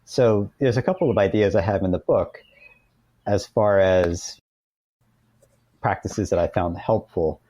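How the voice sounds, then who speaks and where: A man with an American accent and a low, mellow voice talks as a guest in an interview. He explains practical ideas conversationally, thinking as he goes and often restarting his phrases. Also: measured pace, pauses often, slightly rough.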